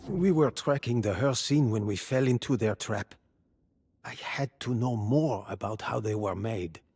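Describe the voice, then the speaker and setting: A man playing an earnest captive tracker in a fantasy video game, with a rough, breathy voice. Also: medium pitch, unhurried, darkish tone. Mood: earnest, urgent. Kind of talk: video game dialogue acting.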